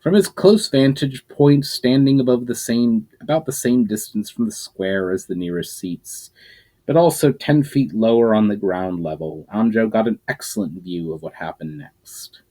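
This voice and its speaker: A man reading his own fantasy novel aloud as narrator, his pitch rising and falling through each sentence, with small slips and restarts. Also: normal speed, dark tone.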